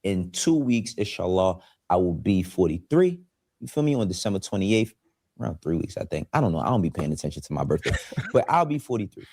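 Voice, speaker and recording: A man in his forties hosts his own online show in a laid-back, streetwise way. His voice sits very low. The recording is dry and close. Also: sing-song, fairly quick, darkish tone, slightly rough, no room sound. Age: 40s. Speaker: YouTube show host. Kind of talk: casual commentary.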